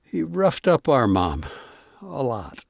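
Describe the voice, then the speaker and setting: Audio play role: earnest mill-working kid brother, halting stammer, unhurried delivery, dry close sound. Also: male voice, medium pitch, huge pitch swings, creaky, no echo, heavily acted. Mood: confiding, wistful. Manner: halting, earnest, repeating words. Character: devoted, exasperated younger brother working at the family mill. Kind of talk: audio drama acting.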